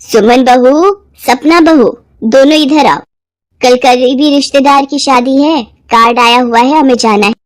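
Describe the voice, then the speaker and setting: A woman acts out an elderly mother-in-law from a moral-story drama, bossy and set in old ways. The voice is high and close, with very little room around it. The delivery scolds and commands. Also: unhurried, no echo. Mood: commanding.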